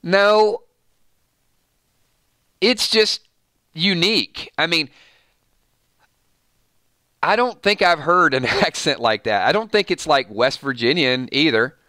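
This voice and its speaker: Bright-voiced Georgia man chatting. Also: fairly high pitch, lively intonation, slow pace, long pauses, dry recording, studio quality. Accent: Georgia (US South). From Georgia, USA. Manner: chatty, rambling, laughs often, reads viewer comments. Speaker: show host. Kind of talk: casual live-stream chat.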